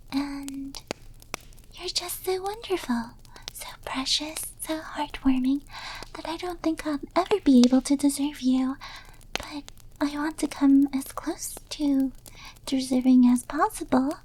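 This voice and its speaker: A high, mellow voice from a woman acting as a shyly obsessive young maid girlfriend in an ASMR roleplay. She speaks softly, often dropping to a whisper, pleading and utterly devoted. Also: low-quality recording.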